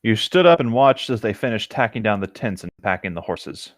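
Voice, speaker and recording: Lower-voiced man narrating a story aloud. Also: big pitch swings, brisk, dry recording, clean studio sound. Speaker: co-host reading fan fiction aloud. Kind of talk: read-aloud narration with casual asides.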